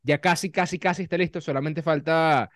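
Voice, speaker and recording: A man's voice: a little high, steady tone, a little fast, dry recording, studio quality. A man with a Venezuelan accent co-hosting a podcast, chatting playfully and teasingly, often repeating himself. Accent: Venezuelan Spanish. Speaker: podcast co-host. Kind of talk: casual chat.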